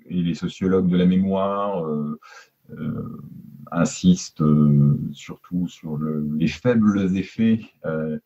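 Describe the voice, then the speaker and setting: A man answering as an academic, haltingly searching for words in a muffled recording. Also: sits low, mellow, husky. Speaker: historian interviewee.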